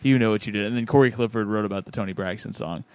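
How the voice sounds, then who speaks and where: A man's voice: fairly low pitch, very fast, darkish tone, no echo. A man hosting a podcast, reading out listener comments in a laid-back, chuckling way. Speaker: podcast host.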